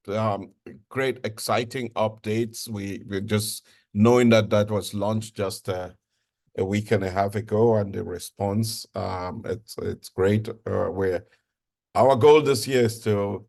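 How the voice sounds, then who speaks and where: A man hosting a community video call, speaking in a halting, hesitant way. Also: lowish voice, vocal fry, darkish tone. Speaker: call host.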